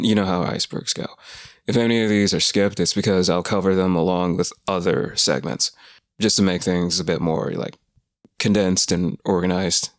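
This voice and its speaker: Croaky deep-voiced man over music. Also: no room sound, clean studio sound. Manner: laid-back, rambling, jokey asides. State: croaky from a cold. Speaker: host of a lore video. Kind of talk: casual lore talk.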